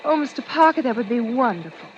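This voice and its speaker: Actress as gushing young woman, high-pitched, old radio. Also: lively intonation, quick pace, close to an everyday voice. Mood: eager, chatty. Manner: gushing, girlish, polite. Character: chatty, eager, trusting young woman. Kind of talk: old-time radio drama acting. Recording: dull, hissy old radio recording.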